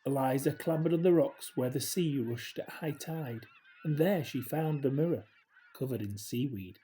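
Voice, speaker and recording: A man reading a children's story aloud in a gentle, expressive way, with hushed dramatic drops. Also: mid-range voice, moderate pace, a little hiss or hum. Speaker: storybook reader. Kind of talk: scripted children's story narration, read aloud.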